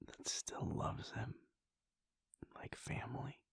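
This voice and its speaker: A man voicing a brooding, conflicted male vampire prince in a whispered audio roleplay monologue, hesitant and breathy. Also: slow pace, no room sound, close to an everyday voice. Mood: wistful. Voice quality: gravelly, breathy. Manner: soft whispering, hesitant restarts.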